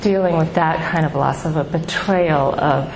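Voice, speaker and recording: Soft-voiced woman in an echoey room. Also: sits low. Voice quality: soft, small voice she calls baby-like. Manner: halting, many ums and restarts, heartfelt. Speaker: speaker at a grief support gathering. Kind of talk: personal testimony to an audience. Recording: echoey room with background noise.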